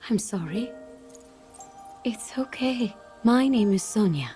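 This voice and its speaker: An actress playing a gentle, wise and playful queen in a fantasy game cutscene, with a motherly, gracious manner. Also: mid-range voice, lively intonation, takes it slowly, faint music behind. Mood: soothing.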